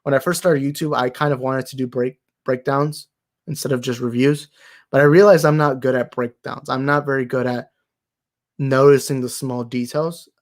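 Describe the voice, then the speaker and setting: A young man hosting a movie talk livestream, tired and slightly raspy, rambling and thanking his viewers. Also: mid-range voice, steady tone, normal speed. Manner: rambling, grateful, repeats himself. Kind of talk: casual livestream sign-off chat.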